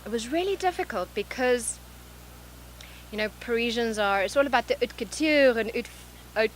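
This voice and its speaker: A woman with a South African accent touched by English, a life coach speaking earnestly and softly in an interview, often repeating phrases. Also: a little high, lively intonation, normal speed, a little hiss or hum, low-quality recording. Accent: South African with some English. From born in Zimbabwe, raised in South Africa. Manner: earnest, soft-spoken, repeats phrases for emphasis. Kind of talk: reflective interview talk. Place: large event venue.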